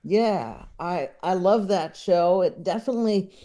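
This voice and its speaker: A man talks at a slow pace in a relaxed, mellow tone. He is a guest in a casual interview, hesitant and rambling, often self-deprecating as he goes. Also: high voice. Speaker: podcast guest, comedy writer and filmmaker.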